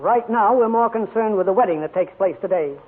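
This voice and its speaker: An actor playing a folksy small-town narrator who addresses the listeners in a 1940s radio drama. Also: male voice, sits high, fairly quick, slight background noise, close to an everyday voice. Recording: tinny old radio broadcast, music behind.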